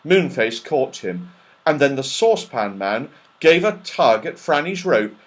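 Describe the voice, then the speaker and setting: A man narrating a children's storybook in an expressive, dramatic, animated way. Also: mid-range voice, unhurried. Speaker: audiobook narrator.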